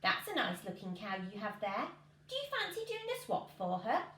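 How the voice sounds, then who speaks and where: A woman's voice: mid-range voice, wildly swooping pitch, moderate pace, a bit bright. A woman voicing a funny little man, a cheery, sly trader in a storybook, with emphatic, wheedling delivery. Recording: roomy home recording with noise.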